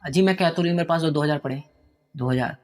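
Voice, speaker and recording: A hesitant, soft-spoken mother, played by a man who falters and trails off. The voice drops lower and quieter than the storyteller's own, though still fairly high. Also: fast talker, long reverb tail, natural voice. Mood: resigned. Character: meek, hurt, older mother.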